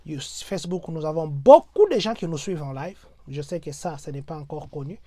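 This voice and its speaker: A man's voice: fairly high pitch, expressive, brisk, warm tone, no echo, studio quality. Congolese French man exhorting his viewers. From Democratic Republic of the Congo. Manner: repetitive rhetorical, mixes in Lingala. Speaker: host of a diaspora channel.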